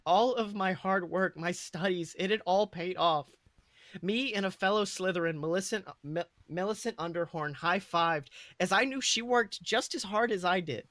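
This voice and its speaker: A man's voice: sits high, normal speed, a bit bright, no echo. A man voicing a proud, earnest schoolboy wizard who tells his own story with emphatic delivery. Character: boy Slytherin first-year student, proud, indignant, sorry. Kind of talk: first-person dramatic story reading.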